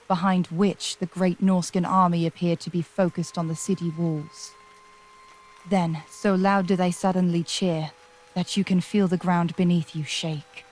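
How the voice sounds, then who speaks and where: A woman's voice: on the low side, darkish tone. A woman giving dramatic, scripted narration for a fantasy audio adventure.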